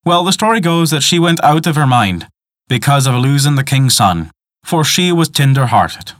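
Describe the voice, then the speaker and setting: A man's voice: mid-range voice, expressive, normal speed, a bit bright, dry recording, studio quality, natural voice. A man voicing an old peasant woman, a superstitious, folksy guide telling tales in dialect for a storybook. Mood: reverent storytelling.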